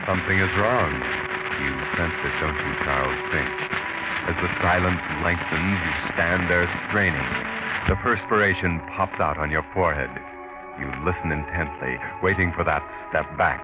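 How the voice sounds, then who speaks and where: An actor playing an ominous, taunting narrator of a 1940s radio mystery. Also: male voice, deep voice, moderate pace, loud music behind the voice, natural voice. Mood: suspenseful. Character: ominous, taunting omniscient narrator addressing the killer. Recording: muffled 1940s radio recording.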